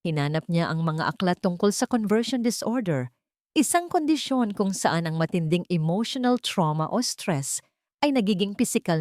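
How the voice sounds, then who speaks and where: Low-voiced woman narrating a dramatic story. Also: darkish tone, no room sound, clean studio sound.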